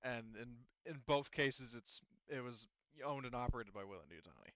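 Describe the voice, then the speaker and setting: A man speaking as a game master, explaining the story in a halting, conversational way. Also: medium pitch, expressive, fairly bright tone, dry recording, highs cut off. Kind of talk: improvised tabletop roleplay narration.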